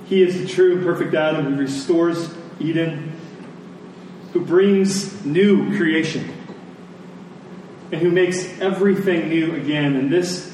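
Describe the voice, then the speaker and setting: A man preaching a sermon to a congregation, phrasing deliberately and emphatically and repeating lines for effect. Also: on the high side, a little mellow. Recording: echoey room, distant mic, faint noise.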